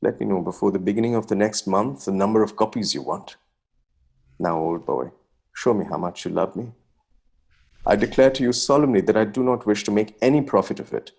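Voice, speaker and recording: A man's voice: fairly low pitch, brisk, creaky, a little mellow. A man playing an exuberant young nineteenth-century Bengali poet, reading his letter in a florid, earnest, old-fashioned manner. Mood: pleading.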